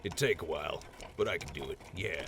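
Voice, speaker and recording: A man acting as a gruff, brutal slave-breaking raider in an audio drama, blunt, coarse and coldly threatening. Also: extremely low pitch, fairly quick, noisy background. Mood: cold menace.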